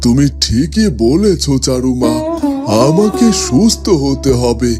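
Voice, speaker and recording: A man's voice: mid-range voice, expressive, slow pace, over music. A man playing an ailing, elderly king in a cartoon, tender and sentimental in his speech. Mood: hopeful. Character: ailing elderly king, affectionate father-in-law, wistful and grateful. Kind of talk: cartoon voice acting.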